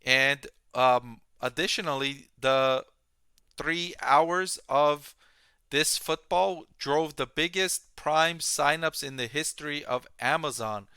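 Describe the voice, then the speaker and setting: A man hosting a stock analysis, explaining financial results to viewers plainly and deliberately. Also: fairly high pitch, bright, forceful tone, clean tone, no room sound, clean studio sound.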